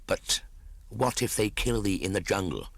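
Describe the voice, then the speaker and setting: A man voicing an ancient, wise and cunning giant python for a storybook, gravelly and breathy, speaking archaically and deliberately, sinking toward whispers. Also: on the low side, animated, a bit bright, no room sound, voice pushed up, heavily acted. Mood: loyal, concerned. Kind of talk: audiobook storybook character acting.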